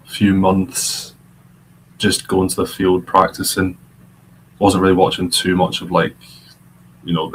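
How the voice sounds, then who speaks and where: A man's voice: sits low, a little hiss or hum, poor audio. A young man from Scotland, a podcast guest, chatting casually and rambling with fillers. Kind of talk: casual chat.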